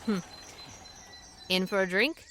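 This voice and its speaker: An actress playing a sharp, wry saloon owner who talks with dry, teasing wit. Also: female voice, mid-range voice, slow, soft background music. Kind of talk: audio drama acting.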